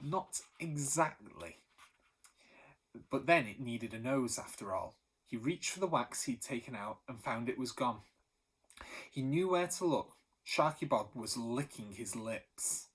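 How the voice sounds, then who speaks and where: Husky-voiced teacher guy reading a chapter book, medium pitch, lively and expressive. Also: measured pace, rough recording. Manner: lively storytelling with animated emphasis. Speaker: primary school teacher. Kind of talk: reading a children's novel aloud.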